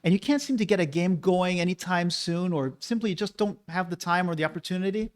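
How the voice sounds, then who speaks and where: A man's voice: high voice, quick pace. A man hosting his own channel, talking casually and persuasively to the viewer, asking rhetorical questions. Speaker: board game channel host. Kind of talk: casual opinion monologue.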